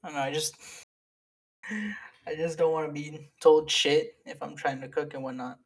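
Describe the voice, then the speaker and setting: A man's voice: fairly high pitch, steady tone, normal speed, breathy edge. Rambling young man on a podcast. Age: young adult. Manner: rambling, laughs mid-sentence, lots of fillers. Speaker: podcast co-host and editor. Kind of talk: casual chat among friends.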